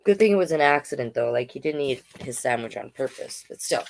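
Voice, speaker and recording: A woman's voice: a bass voice, animated, fairly quick, vocal fry, poor audio. A woman hosting a storytime, reading aloud to children and chatting to listeners with questions and asides. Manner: conversational, addresses listeners with questions.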